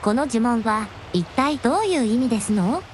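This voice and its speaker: Woman acting a high-voiced, gracious young dragon princess. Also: animated, normal speed, slight background noise, close to an everyday voice. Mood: polite, grateful. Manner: refined, deferential, ladylike honorific speech. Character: young dragon princess, devoted, gentle, very polite. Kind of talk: Japanese radio drama acting.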